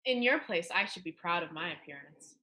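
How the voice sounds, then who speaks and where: A woman's voice: lowish voice, animated, brisk, pushed and bright, poor audio. A woman playing a rueful, defiant teenage schoolgirl in a dramatic reading of a period play. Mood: admiring.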